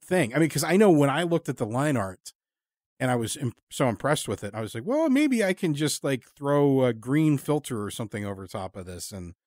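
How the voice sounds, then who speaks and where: A man hosting a casual interview, speaking in an earnest, rambling and halting way. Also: medium pitch, very animated, darkish tone, no room sound, studio quality. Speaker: podcast host and interviewer.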